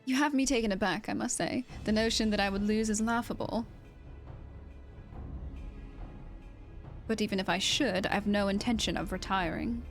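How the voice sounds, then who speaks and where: A woman's voice: medium pitch, fast, soft background music, natural voice. A woman voicing a proud, composed male wolf fighter who speaks formally in a video game. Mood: haughty.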